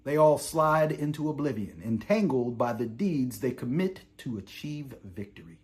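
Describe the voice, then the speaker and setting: A man's voice: mid-range voice, animated, lightly acted. A man performing a weary, defiant imprisoned revolutionary. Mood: impassioned. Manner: deliberate oratorical delivery swinging from hushed to impassioned. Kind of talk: dramatic theatrical monologue, letter read aloud.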